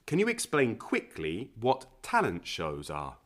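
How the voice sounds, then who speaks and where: Male teacher voice, scripted lesson questions, very animated pitch. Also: unhurried.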